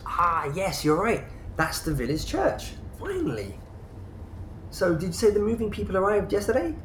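A man's voice: high voice, big pitch swings, moderate pace, fairly bright tone. Man playing nervy hesitant husband, audio drama, voice goes up and down, echoey. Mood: uneasy. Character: anxious, old-fashioned husband leaving London for the countryside. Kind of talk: naturalistic audio drama dialogue. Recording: echoey, clearly noisy ambience.

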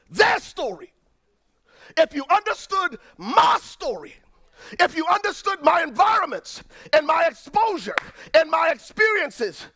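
A middle-aged man with a Liberian accent, preaching a sermon as pastor, swinging from near-shouts down to low, quiet lines. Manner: preaching with dramatic swings, rising to shouts then dropping low.

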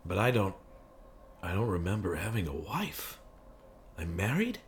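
A man's voice: big pitch swings, measured pace. A man playing a confused, suspicious amnesiac hero in an improvised film noir audio play, hard-boiled and prone to stammering. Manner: agitated stammering in dialogue, low brooding asides. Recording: clearly noisy background.

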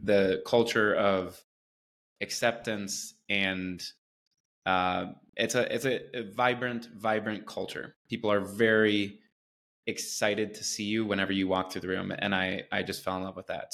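Man, from the United States, low voice, flat intonation, earnest, enthusiastic, interview guest. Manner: earnest and enthusiastic, with repeated restarts. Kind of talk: conversational interview.

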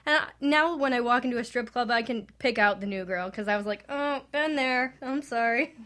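A woman's voice: a little high, a little fast, fairly bright tone. A woman guest on a talk radio show, chatting frankly and laughing often. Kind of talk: candid talk radio chat.

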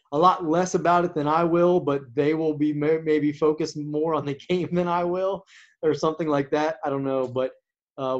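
A man with a naturally quiet voice, pitched a little high, chats casually about sports. He talks haltingly, with many restarts and fillers. The recording sounds dull and lo-fi. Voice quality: naturally quiet voice that does not carry. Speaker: coach and podcast co-host.

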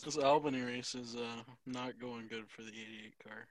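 A man's voice: mid-range voice, steady tone, normal speed, low-quality recording. A man chatting casually as a podcast guest, blunt and slangy, calling people bud. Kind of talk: casual chat.

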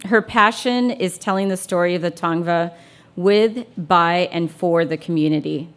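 A woman with a fairly low voice speaks as a panel moderator. Her delivery is formal and orderly, suited to introducing guests and posing questions. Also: a little slow.

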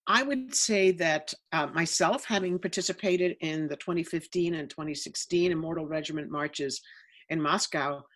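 An American woman speaking as a panelist in an online discussion, emphatic and lively about what she saw firsthand. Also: sits low, normal speed. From United States.

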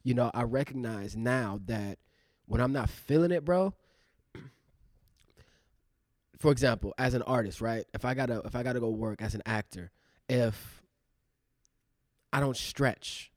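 A man's voice: medium pitch, measured pace, long pauses, no room sound, clean studio sound. A man in his thirties, an actor co-hosting a podcast, talking in a loose, rambling way with many fillers. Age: 30s. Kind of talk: casual reflective chat.

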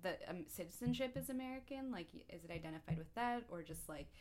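A woman's voice: lowish voice, expressive, quick pace, darkish tone. A woman co-hosting a casual interview, asking questions with hesitations and affirming the guest. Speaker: podcast co-host.

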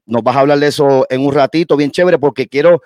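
A man's voice: mid-range voice, fast talker, darkish tone, no room sound. A man, hosting an interview in a chatty, enthusiastic way. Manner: chatty, enthusiastic, hype-building. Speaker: host. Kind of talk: casual interview chat.

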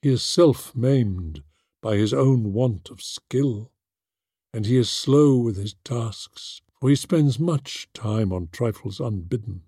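A man's voice: medium pitch, takes it slowly, warm tone, husky, no room sound, studio quality. A man voicing a stern, cool, exacting noble mother in an audiobook, with restrained delivery. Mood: stern, disapproving. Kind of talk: audiobook character voice.

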